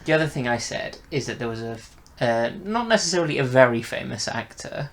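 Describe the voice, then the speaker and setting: A man's voice: mid-range voice, expressive, a little fast, creaky, a bit bright. A man hosting a casual review chat, leading his co-host along with questions and prompts. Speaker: host of an audio drama review show.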